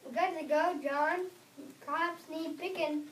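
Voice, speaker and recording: High, echoey child girl as fearful farmhand. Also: fairly even intonation, takes it slowly, slight background noise. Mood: tired, wary. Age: child, about 8 to 9. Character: tired, fearful enslaved farmhand wondering about freedom. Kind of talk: school readers theater, reading lines aloud. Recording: echoey room, distant, audience murmur.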